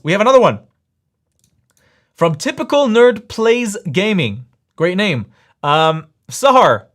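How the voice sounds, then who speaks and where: A man hosts a live stream, reading viewer messages aloud and arguing his side. His voice sits a little high for a man. He talks emphatically and circles back to hammer the same point again and again. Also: sing-song, takes it slowly. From Israel. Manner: emphatic, insistent, repeats points. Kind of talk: live stream chat and debate.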